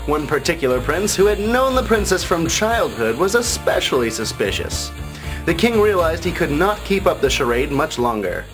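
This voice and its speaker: A man's voice: fairly high pitch, very animated, normal speed, music in the background, poor audio. A man reading a scripted fairytale as a storybook narrator.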